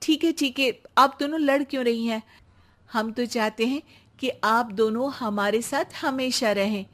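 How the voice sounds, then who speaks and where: A woman's voice: fairly high pitch, unhurried, natural voice. A woman voicing a young, polite daughter-in-law in a cartoon, eager and pleading. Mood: soothing. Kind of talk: cartoon voice acting.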